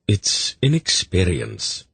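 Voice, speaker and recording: Man as a wry, husky sly rogue. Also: low voice, wildly swooping pitch, slow pace, fairly bright tone, dry recording, exaggerated voice. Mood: wry, amused. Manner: casual, drily teasing. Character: sly, wry, weasel-faced man, amused.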